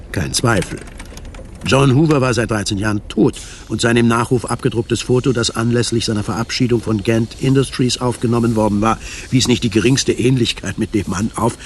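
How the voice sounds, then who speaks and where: A man reading scripted narration for a radio drama, measured and articulate. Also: medium pitch, slight background noise.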